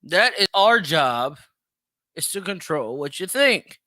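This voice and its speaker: Animated man with a bright, forceful voice. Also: fairly high pitch, takes it slowly, dry recording. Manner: emphatic, repeats phrases for stress. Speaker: podcast host. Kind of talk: opinionated solo commentary.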